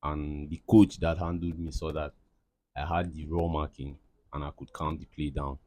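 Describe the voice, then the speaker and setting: A man's voice: very deep voice, flat delivery, moderate pace, dark tone, dry recording. A young man from Cameroon, answering interview questions in a rambling, casual way with lots of fillers and restarts. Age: young adult. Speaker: interviewee, aspiring footballer.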